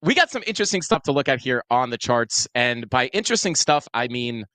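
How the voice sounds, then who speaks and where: A man's voice: mid-range voice, very animated, fairly quick, fairly bright tone, no room sound. A man hosting a crypto show, talking casually through market charts with many fillers. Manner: casual, filler-heavy, self-deprecating. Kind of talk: casual chart analysis talk. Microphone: clip-on mic.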